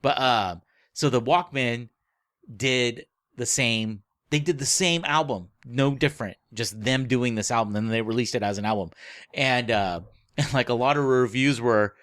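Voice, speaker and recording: Male podcast co-host, mid-range voice, dry close sound, halting conversational delivery with frequent rephrasing. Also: medium pitch, fairly bright tone, dry recording. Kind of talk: casual book club discussion.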